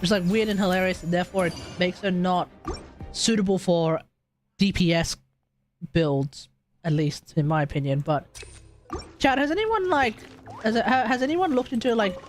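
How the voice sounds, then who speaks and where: Australian game streamer, casual and rambling, middling pitch, music behind. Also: moderate pace, pauses often. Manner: rambling, thinking aloud. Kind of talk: casual livestream gameplay chat. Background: game music and combat sounds.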